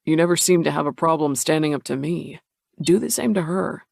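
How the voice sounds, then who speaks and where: A woman reading a novel aloud, quoting a remembered companion's blunt advice in a lowered voice. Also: fairly quick, vocal fry, a little mellow, no echo, clean studio sound, heavily acted.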